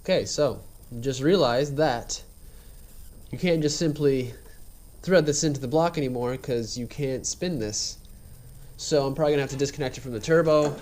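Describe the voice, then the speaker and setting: A man's voice: mid-range voice, normal speed, darkish tone. A man with a congested, slightly nasal voice talks casually through his car build while he works, heard through a head-mounted camera mic in a garage. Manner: laid-back, rambling, filler-heavy. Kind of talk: casual how-to narration while working. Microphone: head-mounted action camera.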